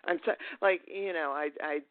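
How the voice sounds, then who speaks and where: A man hosting a casual interview, chatty and quick to laugh as he asks his questions. His voice sits a little high for a man. It sounds dry and tinny, as if over a phone line. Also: no room sound. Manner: chatty, laughs between remarks. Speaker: podcast host.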